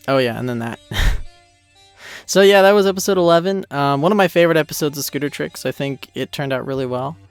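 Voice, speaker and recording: A man talks through his own animation in a loose, rambling way. His voice is smooth and clear, with a good deal of vocal fry. He comes across as modest about his work. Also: fairly high pitch, brisk, no room sound, soft background music. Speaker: animated series creator giving a commentary. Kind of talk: casual commentary.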